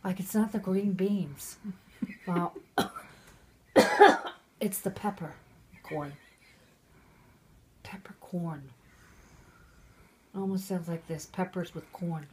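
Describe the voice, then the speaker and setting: A middle-aged woman who is drunk, reading aloud haltingly with chatty asides, stumbling over and repeating words. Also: lowish voice, a little mellow, slight background noise. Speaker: mother reading a Christmas poem aloud.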